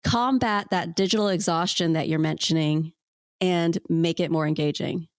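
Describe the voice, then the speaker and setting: A woman's voice: fairly low pitch, fairly even intonation, normal speed, dry recording. Woman podcast host, dry close sound. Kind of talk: interview questioning.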